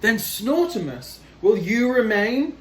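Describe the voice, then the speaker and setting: A man's voice: sits high, very animated, slow, roomy sound, voice pushed up, full character voice. A man voicing eager, polite animal neighbours from a storybook, asking questions in a sing-song way. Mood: eager, hopeful.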